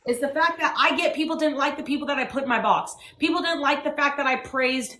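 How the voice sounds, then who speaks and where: A woman hosts a live stream in a blunt, heated rant, swearing hard. Her voice sits high and sounds bright and sharp. The room around her is echoey. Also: quick pace. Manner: blunt, profane, emphatic.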